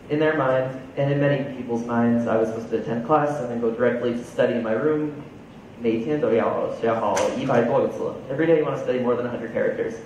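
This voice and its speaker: A young American man with a New Jersey accent gives an earnest talk on stage, chatty and a bit halting, sometimes repeating words as he restarts. His voice carries a big, boomy hall echo and sounds somewhat distant. The sound is muffled, with a faint low rumble underneath. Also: a little mellow. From United States. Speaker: conference speaker. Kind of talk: stage talk switching between English and Mandarin. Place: large dark auditorium stage. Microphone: headset mic.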